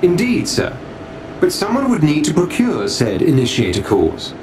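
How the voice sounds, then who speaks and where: An actor voicing a polite, deferential AI butler in a video game, speaking formally and with careful precision. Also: male voice, a little high, wildly swooping pitch, background noise. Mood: polite, composed. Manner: formal, courteous, measured. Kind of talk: video game cutscene acting.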